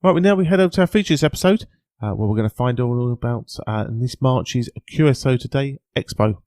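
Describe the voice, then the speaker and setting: A British man hosting a podcast in an informal, halting way, with frequent filler sounds as he presents and interviews. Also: medium pitch, a little mellow, no echo. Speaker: podcast host and news presenter.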